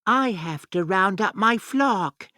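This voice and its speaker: A woman's voice: medium pitch, animated, slow, bright, forceful tone, no room sound, clean studio sound. A woman voicing a young shepherd boy in a storybook, friendly, earnest and plain-spoken. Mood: matter-of-fact.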